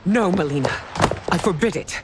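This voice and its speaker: A woman's voice: on the low side, expressive, normal speed, background noise, natural voice. A woman playing a grieving, imperious middle-aged empress and mother in a video game, regal and commanding. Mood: alarmed, commanding. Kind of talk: dramatic game cutscene acting. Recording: music behind the voice.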